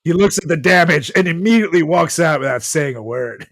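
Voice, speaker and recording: A man podcast host narrating a comedic story with dramatic pauses, over background music. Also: fairly high pitch, animated, a bit bright, dry recording. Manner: dramatic pauses, drawn-out delivery.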